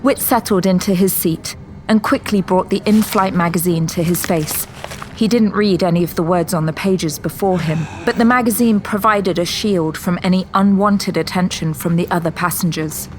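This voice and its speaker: A woman's voice: lowish voice, normal speed, a little hiss or hum, rough recording. A woman narrating a scripted audio drama in a storytelling role.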